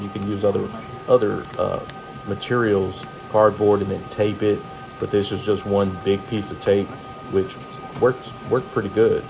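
An American man in middle age narrates a casual welding how-to over music. His voice is low and fairly flat in melody. He talks in a laid-back, folksy way, like a tradesman showing his work. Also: vocal fry, rough recording. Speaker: welder hosting a tutorial.

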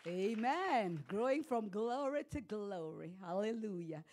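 A Kenyan woman pastor in her forties preaching a sermon into a handheld microphone in a church hall, swinging from loud declarations to hushed storytelling. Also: mid-range voice, animated, a little slow.

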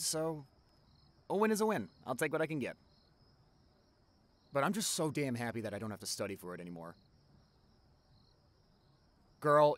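A man's voice: medium pitch, lightly acted. A young man playing a caring, chatty college best friend in an ASMR roleplay, talking casually and directly to the listener.

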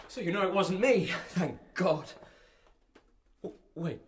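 An actor playing an anxious, indignant murder suspect in a radio drama, urgent and questioning. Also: male voice, high voice, expressive, slow pace. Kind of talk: BBC radio drama acting. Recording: echoey room, faint noise.

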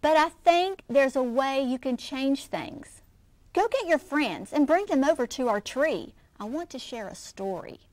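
A woman voicing a gentle, loving mother raccoon from a storybook, soft and soothing. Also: high voice, lively intonation, unhurried, dry recording, voice pushed up. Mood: reassuring.

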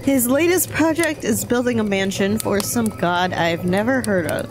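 Casting: actress as anxious enslaved djinn, fearful, weary, hesitant, audio fiction, music underneath. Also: female voice, mid-range voice, moderate pace, natural voice. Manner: nervous, hesitant.

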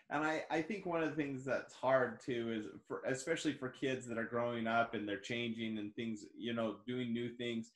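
A man in his forties hosting an interview over a video call, speaking earnestly and encouragingly. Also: medium pitch. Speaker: host. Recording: echoey room on a video call.

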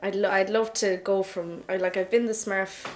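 A woman's voice: mid-range voice, little pitch movement, moderate pace, slight background noise. Young Irish woman chatting casually. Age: young adult. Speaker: amateur pantomime performer. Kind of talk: casual interview recollection.

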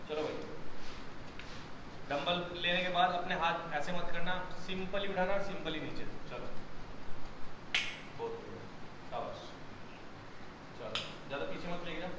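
A man's voice: a little high, measured pace, pauses often, heavy background noise. A young man coaching a gym workout on camera, giving instructions and encouraging praise to a trainee. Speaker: gym trainer and fitness channel host. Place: gym, indoors. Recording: echoey gym room, loud background ambience.

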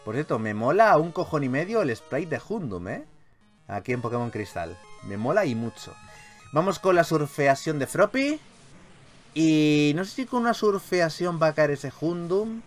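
A man with an accent from Spain giving casual, excitable gaming commentary, repeating his phrases and swearing freely. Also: fairly high pitch, normal speed. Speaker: gaming YouTuber, host. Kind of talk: casual, excitable Pokémon let's play commentary. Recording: game music behind voice. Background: video game music.

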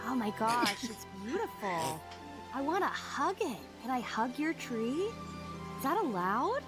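A sweet, excitable young princess rambles and giggles in a flustered rush, dubbed live by a woman. The voice is high and lively, with game music loud beneath it. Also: a little slow. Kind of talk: improvised real-time fandub.

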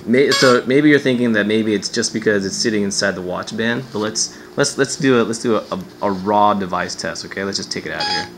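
A man's voice: lowish voice, brisk, hardly any pauses, background noise. A man giving a casual hands-on gadget demo as a reviewer, offhand and sardonic, swearing and sounding exasperated.

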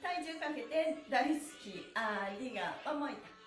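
Bubbly woman instructor, high lively voice. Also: moderate pace, faint music behind. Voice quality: bubbly, chants counts cheerfully. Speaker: stretch instructor. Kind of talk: live-streamed stretch class. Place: small indoor studio room. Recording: echoey room.

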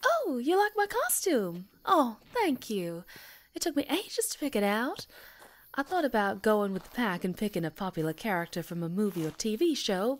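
Woman acting young woman, sweet, girlish, talkative, easily flustered, medium pitch, ASMR roleplay. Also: natural voice. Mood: cheerful.

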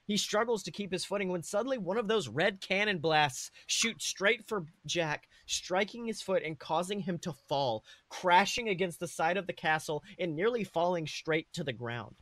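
A man's voice: high-pitched, lively intonation, normal speed, hardly any pauses, fairly bright tone, no echo. A man co-hosting a podcast, reading and discussing his own comic fan fiction. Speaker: podcast co-host.

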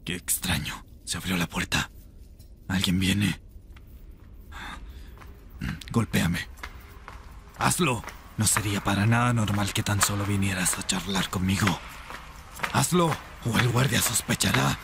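A man voicing a chained rebel mage in an ASMR roleplay, intense, seductive and tender, with a breathy, gravelly voice. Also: fairly low pitch, measured pace, noisy background. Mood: urgent.

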